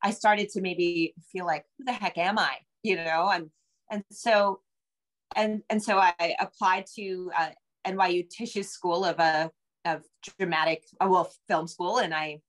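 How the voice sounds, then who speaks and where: A woman's voice: fairly low pitch, steady tone, measured pace. A middle-aged woman speaking conversationally as an interview guest, a novelist talking from a room at home over a video call.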